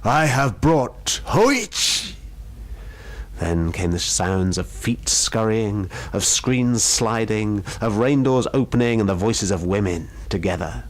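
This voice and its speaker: A man reading a ghost story aloud in a measured, suspenseful storytelling style. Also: on the low side. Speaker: radio show storyteller.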